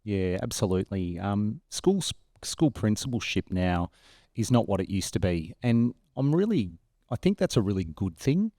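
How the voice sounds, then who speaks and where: A man's voice: lowish voice, very animated, moderate pace, mellow, no echo, clean studio sound. A man in his forties with an Australian accent, chatting as a school principal being interviewed on a podcast. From rural New South Wales, Australia. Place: bright glass-walled room, indoors. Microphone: close podcast mic on stand.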